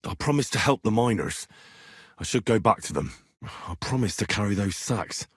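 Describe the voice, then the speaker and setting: A young medieval video game hero, with a slightly husky voice recorded close and dry. He is earnest and wry, mutters his thoughts to himself and curses readily, with pitch that moves widely. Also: animated, moderate pace, dry recording. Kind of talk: acted video game voice lines.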